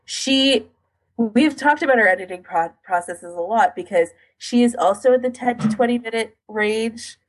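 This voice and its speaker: Husky-voiced woman chatting casually. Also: a little high, moderate pace, low-quality recording. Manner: informal, frequent "like" as filler. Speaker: podcast co-host. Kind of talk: casual podcast chat.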